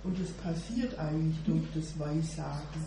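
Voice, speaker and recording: A woman talks at a church gathering, pausing often on "äh" as she searches for words. Her voice carries from far off across a very echoey room, with constant noise in the background. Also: deep voice, steady tone, warm tone. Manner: hesitant, many "äh" fillers, laughs easily. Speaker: church member sharing. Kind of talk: informal devotional sharing.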